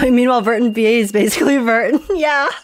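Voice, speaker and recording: A woman's voice: fairly high pitch, a little fast, faint music behind. A woman streaming a live game reaction, rambling casually with lots of fillers and frequent laughs.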